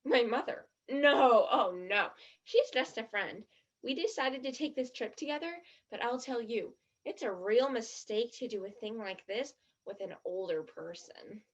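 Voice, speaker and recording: A woman's voice: on the high side, lively intonation, measured pace, lo-fi sound. An actress in a stage play playing a disgruntled, sarcastic young tourist who complains in exasperation about her trip. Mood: confiding.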